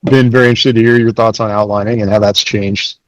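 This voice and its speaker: A man's voice: on the low side, flat delivery, quick pace, no room sound, lo-fi sound. Man co-hosting, dry close sound. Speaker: podcast co-host asking questions. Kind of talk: casual conversational interviewing.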